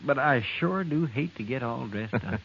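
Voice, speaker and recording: Casting: simple, earnest American frontier helper, mid-range voice, deferential, dull vintage radio sound. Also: male voice, mid-range voice, animated, moderate pace, vocal fry. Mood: earnest, good-natured. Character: naive, folksy, respectful marshal's sidekick. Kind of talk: 1950s radio western acting. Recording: muffled, slightly noisy old radio recording.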